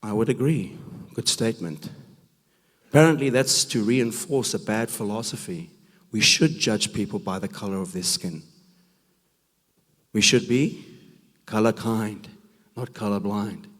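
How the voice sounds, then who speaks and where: Hoarse man, gravelly. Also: on the low side, a little slow, a bit bright. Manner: emphatic, full of rhetorical questions. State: hoarse, rough voice from a cold. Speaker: conference speaker. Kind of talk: preaching talk at a men's conference. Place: church hall. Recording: long reverb of a large hall.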